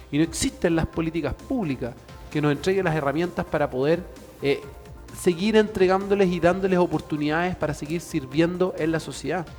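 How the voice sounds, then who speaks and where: A man's voice: a little high, long reverb tail. A man talking earnestly on a TV show, speechlike and full of fillers. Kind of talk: earnest studio talk.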